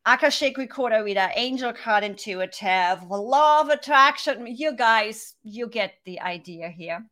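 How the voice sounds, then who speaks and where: A woman's voice: on the high side, lively intonation, a little slow, fairly bright tone. A woman hosting a podcast interview, chatting in a bubbly, exclamatory way. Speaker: podcast host. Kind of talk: casual interview chat.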